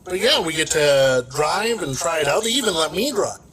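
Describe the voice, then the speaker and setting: A man's voice: fairly high pitch, very animated, normal speed, a bit bright. A man telling a family anecdote in a playful way, acting out the people in it. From Canada. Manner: playful, acts out the voices in his story. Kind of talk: storytelling anecdote. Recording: crowd noise behind.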